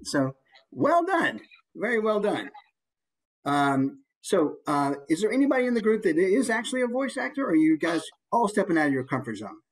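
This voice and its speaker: Animated, husky, higher-pitched American man. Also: moderate pace, poor audio. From United States. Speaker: presenter, voice actor. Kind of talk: casual chat with workshop attendees.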